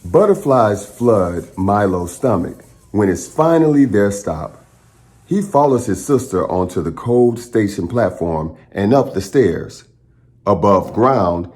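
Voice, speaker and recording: A man reading a children's story aloud as a storytime host, with dramatic, pausing phrasing aimed at young listeners. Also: on the low side, lively intonation, a little mellow. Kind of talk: expressive picture-book read-aloud.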